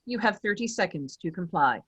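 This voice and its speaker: A woman's voice: lowish voice, expressive, a little fast, fairly bright tone, breathy edge. Woman as formal computer security system. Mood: impersonal, procedural. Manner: formal, procedural. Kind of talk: screenplay table read.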